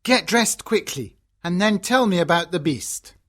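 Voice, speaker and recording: A woman voices a loving elderly fairytale father, husky and rather low. The intonation is animated and excitable. The lines are simple storybook speech full of fondness. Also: unhurried. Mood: fond, instructive. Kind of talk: fairytale storybook dialogue for English learners.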